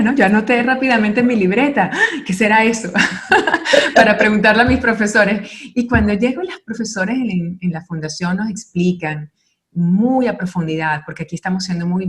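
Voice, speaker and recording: A middle-aged woman from Venezuela, a guest speaking earnestly and thoughtfully in a radio interview, pausing to reflect. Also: medium pitch.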